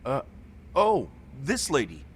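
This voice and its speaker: A man's voice: mid-range voice, wildly swooping pitch, slow, slight background noise. An actor playing a mild, hesitant male shop worker who chats politely in a game cutscene dub. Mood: fond recollection.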